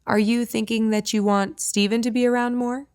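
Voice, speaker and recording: A woman's voice: medium pitch, moderate pace, a bit bright, no room sound, studio quality, natural voice. A woman voicing a teasing, goading woman friend in an audiobook. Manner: playful, goading.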